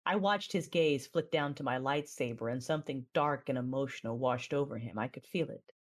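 A woman playing a resolute, battle-hardened female Jedi who tells her story in measured, dramatic first-person narration for an audio drama. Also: very deep voice. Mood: solemn, tender. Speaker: narrator and lead character.

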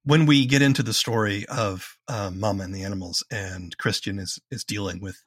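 Husky, low-voiced male interviewer. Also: steady tone, moderate pace. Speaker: podcast interviewer. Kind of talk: conversational interview.

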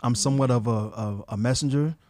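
A man's voice: medium pitch, fast, a little mellow. A man speaking as a podcast guest, earnest and reflective, with frequent fillers. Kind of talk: casual conversation.

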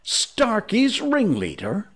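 A man's voice: mid-range voice, expressive, slow pace, fairly bright tone. A man voicing a menacing storybook pirate captain in a grand, mock-polite, threatening manner. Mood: silky menace. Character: menacing pirate captain, theatrical, purring yet thunderous villain.

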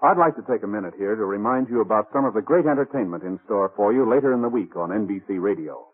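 A man's voice: fairly low pitch, expressive, quick pace, husky. An American man announcing for a 1950s radio network in a formal, polished scripted style. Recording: muffled vintage radio broadcast, faint music.

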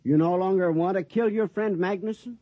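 A man's voice: high voice, a little fast, no echo. Flustered, stammering store man, muffled. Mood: flustered, uneasy. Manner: hesitant, stammering. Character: nervous, polite store employee, flustered and deferential. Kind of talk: 1950s radio drama acting. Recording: muffled old radio recording.

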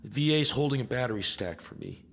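An actor playing a weary, wry veteran soldier in an audio drama, speaking in terse phrases. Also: male voice, mid-range voice, lively intonation, fast. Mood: guarded, polite. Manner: terse, muttering to himself.

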